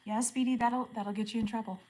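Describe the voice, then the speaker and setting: A woman in her forties chatting casually with viewers on a livestream, asking friendly questions and murmuring softly when she reads comments. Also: a little high, steady tone, fast talker. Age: 40s. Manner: drops to a soft murmur when reading comments aloud. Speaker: live streamer.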